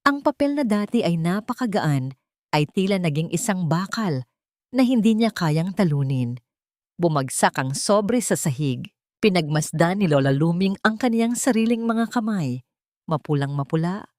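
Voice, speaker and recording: A woman's voice: fairly low pitch, lively intonation, unhurried, darkish tone, dry recording, clean studio sound. A woman narrating a scripted audio story in a dramatic storytelling style.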